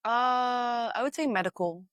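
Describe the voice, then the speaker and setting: A young woman from Texas, a student guest chatting in an interview, friendly and attentive with quick reactions. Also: a little high, a bit bright, dry recording, studio quality. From Texas, USA. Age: young adult. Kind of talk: casual interview chat.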